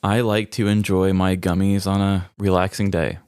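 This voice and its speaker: A man's voice: low-pitched, little pitch movement, moderate pace, darkish tone, smooth, no echo, studio quality. A man co-hosting a podcast in casual comedic banter, telling things playfully. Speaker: podcast co-host.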